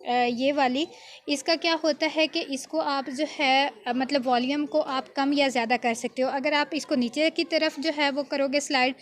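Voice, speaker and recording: A woman giving an informal, step-by-step software tutorial as an editing tutor, often adding small check-in tags. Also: high-pitched, fairly even intonation, moderate pace.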